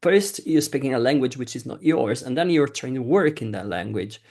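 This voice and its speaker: A man's voice: medium pitch, animated, normal speed, a little mellow. A man hosting a podcast in casual conversation, talking hesitantly with frequent restarts and fillers. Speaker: podcast host.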